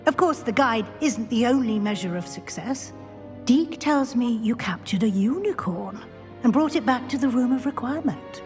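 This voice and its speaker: A woman playing a composed, gently stern school professor in a video game, speaking formally and with authority. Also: on the high side, lively intonation, moderate pace, close to an everyday voice. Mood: approving, probing. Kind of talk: video game character acting. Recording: long hall reverb, faint music.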